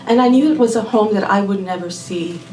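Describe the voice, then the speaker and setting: A woman's voice: medium pitch, a little hiss or hum. Woman telling a personal story onstage. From born in Puerto Rico, raised in Miami. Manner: warm, unhurried, measured phrasing with Spanish words. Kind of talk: live personal storytelling performance. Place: small venue before a live audience. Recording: roomy venue audio from a distant mic.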